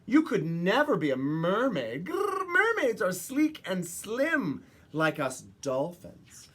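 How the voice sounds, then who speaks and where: A woman's voice: medium pitch, huge pitch swings, takes it slowly, a bit bright, husky, pitched above the performer's own voice, full character voice. A woman voicing a snobbish young dolphin classmate in a storybook, with an emphatic, growly delivery. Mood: scoffing.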